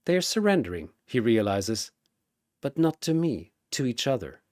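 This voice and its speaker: A man's voice: medium pitch, big pitch swings, normal speed, a little mellow, no echo, studio quality. A man delivering dramatic scripted story narration in theatrical, suspenseful short phrases.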